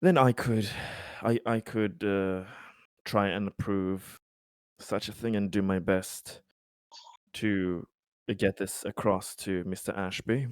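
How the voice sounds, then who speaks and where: Male roleplayer, lowish voice, very slow halting delivery, close dry sound. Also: fairly even intonation, clear, resonant tone, no echo. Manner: hesitant, halting, repeats himself. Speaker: tabletop game player. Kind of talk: roleplaying discussion, partly in character.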